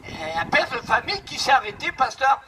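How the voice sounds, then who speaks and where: A man's voice: very high-pitched, sing-song, fairly quick, pushed and bright, a little hiss or hum. A man from the Paris region preaching a sermon as pastor, emphatic and repetitive, telling a story to a responding congregation. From Paris region, France.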